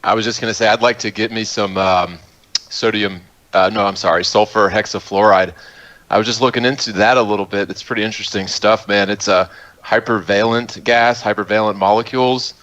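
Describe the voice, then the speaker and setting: A man with a fairly low voice chats casually, thinking out loud in a loose, laid-back ramble. The audio is dull and lo-fi, like an online call. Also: fairly even intonation, fairly quick. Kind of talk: casual chat.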